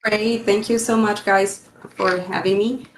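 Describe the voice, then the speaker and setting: A Colombian-accented woman tells her story casually as a podcast guest. She leans on filler pauses as she talks. Her voice rings in a roomy, echoey space. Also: mid-range voice, steady tone, a little hiss or hum. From Cali, Colombia.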